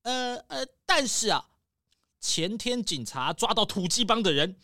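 A woman's voice: mid-range voice, big pitch swings, a little slow, bright, forceful tone, no room sound, clean studio sound, exaggerated voice. A woman playing a nervous, paranoid male squirrel tycoon in a children's audio detective story, stammering and exclaiming dramatically. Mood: agitated, dramatic.

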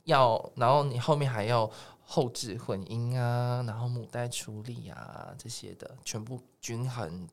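A man with a Taiwanese accent chatting casually as a guest music producer, telling things in an animated way and quoting people in dialogue. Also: medium pitch, a little slow, hardly any pauses. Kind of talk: casual podcast interview.

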